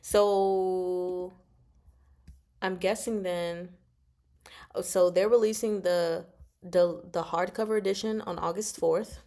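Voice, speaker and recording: A woman's voice: lowish voice, fairly even intonation, slow, long pauses, dark tone. A woman hosting a casual book discussion stream, rambling and playful as she thinks aloud and answers viewers. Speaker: host of a book discussion livestream.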